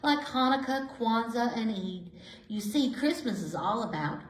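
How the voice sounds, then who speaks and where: Kindly elderly storybook woman female reader acting, gentle, content, highly melodic delivery, roomy sound. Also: lively intonation, unhurried. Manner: gentle, reassuring, reminiscing. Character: kindly elderly woman, lonely yet content, comforting a cat. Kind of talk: rhyming picture-book storytelling. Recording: roomy, echoey indoor sound.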